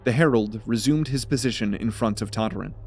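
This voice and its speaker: A man's voice: lowish voice, a little fast, darkish tone, faint music behind. A man narrating a fantasy audio drama as a scripted, dramatic story.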